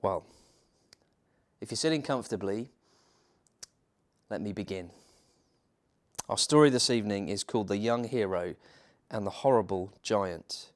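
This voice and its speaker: A man reading a children's bedtime story as a church minister, theatrical, with dramatic pauses and emphasis. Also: lowish voice.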